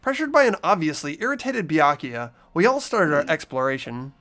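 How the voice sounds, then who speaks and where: An expressive narrator reading a game story and chatting casually in a group playthrough. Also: medium pitch, moderate pace, faint music behind.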